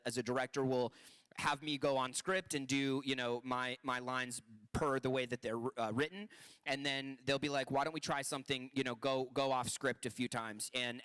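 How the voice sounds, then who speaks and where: A man's voice: mid-range voice, fairly bright tone, smooth. A man in his twenties, an actor, talking upbeat and at length on a panel, often restarting his sentences. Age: 20s. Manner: upbeat, talkative, many restarts. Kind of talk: panel talk.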